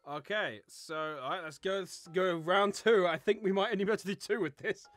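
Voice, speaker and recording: A woman's voice: on the low side, brisk, dry recording, soft background music. A woman hosting a casual word game with friends, bantering as she goes. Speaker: host. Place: online voice call.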